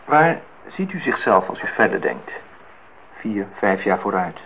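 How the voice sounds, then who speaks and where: A man's voice: on the low side, sing-song, measured pace, gravelly, heavily acted. An actor in a radio play as a wry man who asks probing questions in a dry, teasing way. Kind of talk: Dutch radio play acting. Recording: dull, noisy old radio recording.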